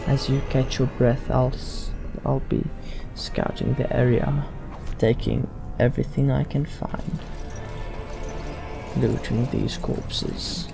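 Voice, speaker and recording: A man's voice: mid-range voice, level tone, slow, vocal fry. A man hosting a let's play, commenting in character and giving orders to a party over game music. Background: video game music.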